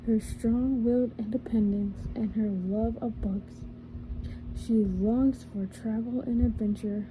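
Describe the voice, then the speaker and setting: A woman reading a storybook aloud in a soft ASMR style, slowly and carefully, sometimes slipping into a whisper and correcting small slips as she goes. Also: a little high, noisy background. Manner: drops to a whisper at times. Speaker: ASMR reader.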